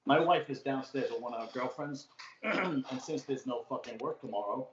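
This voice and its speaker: A man's voice: medium pitch, normal speed, noisy background. A man with an old-school Brooklyn accent, a podcast guest chatting casually and telling long stories with loose swearing. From Brooklyn, New York. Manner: talkative storyteller, swearing freely. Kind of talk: casual chat. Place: home room, remote call. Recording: echoey room, dull lo-fi sound.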